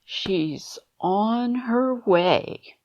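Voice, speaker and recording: A woman playing a tense, weary hostess in a dangerous world in an audio drama, speaking in a measured, guarded way. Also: medium pitch, animated, darkish tone, muffled recording. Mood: weary, haunted.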